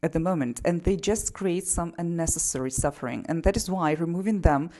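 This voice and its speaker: A woman's voice: lowish voice, a little fast, dark tone. A woman from Poland with a Polish accent, explaining her music path and a self-help method in a casual monologue full of "you know". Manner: frequent fillers like "you know" and "you see". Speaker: singer and GSR practitioner.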